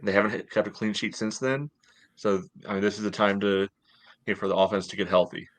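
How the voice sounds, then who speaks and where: An American man in his thirties with a fairly low voice co-hosts a sports podcast from home. He discusses things casually, padding his sentences with small conversational fillers. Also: fairly even intonation, fast. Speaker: podcast co-host. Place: home room, indoors, on a video call.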